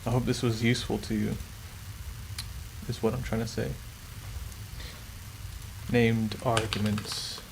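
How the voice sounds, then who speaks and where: A man's voice: on the low side, level tone, slow, creaky, long pauses. A man chatting casually on a live stream while coding a game, muttering his code aloud. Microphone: desk streaming mic. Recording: faint background noise, keyboard typing.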